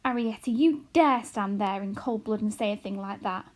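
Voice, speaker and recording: A woman's voice: mid-range voice, normal speed, a little mellow, close to an everyday voice. A woman reading aloud and voicing an anxious, fretful tiny mother who exclaims and frets, in a storybook reading. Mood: distraught. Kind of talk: audiobook character reading.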